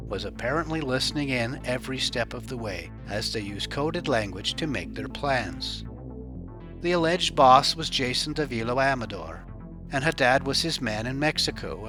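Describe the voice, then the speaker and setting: Male crime reporter narrating over music. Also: mid-range voice. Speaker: host of a crime news report channel.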